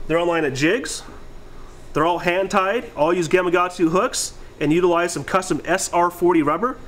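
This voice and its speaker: A man in his thirties with a slightly high voice walks through products in an informal, plain-spoken way. He sounds like a casual presenter demonstrating gear, recorded indoors with clear noise behind him. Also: a bit bright. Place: indoor warehouse aisle lined with stock bins.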